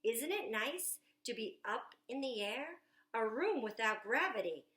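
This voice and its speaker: Woman reading as an excited young boy inventor. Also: fairly high pitch, unhurried. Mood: proud, excited. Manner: bouncy rhyming read-aloud, stretched words. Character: imaginative young boy proudly touring his dream house. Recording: echoey room.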